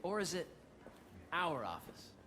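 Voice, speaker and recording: A man's voice: on the high side, sing-song, a little slow. An actor playing a chatty, playful young man giving a friend a tour in a game cutscene. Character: young male tech heir, upbeat and playful host of a tour. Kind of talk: video game cutscene acting. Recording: reverberant hall with ambient noise.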